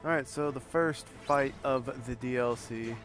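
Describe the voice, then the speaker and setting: A man's voice: mid-range voice, a little slow, over music. A man giving casual commentary while playing a video game.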